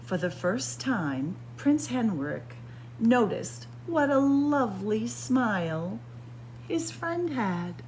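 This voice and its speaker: A woman reading a children's picture book aloud with deliberate, expressive storytelling. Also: on the high side, rough recording. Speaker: storytime reader. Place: indoors, room with library bookshelves. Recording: faint background noise.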